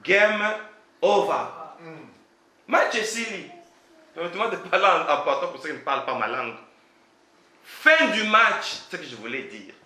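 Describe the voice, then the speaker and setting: Animated pastor preaching with forceful emphasis. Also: male voice, high-pitched, moderate pace, long pauses, roomy sound. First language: not French. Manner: emphatic preaching with rhetorical questions. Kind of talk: sermon.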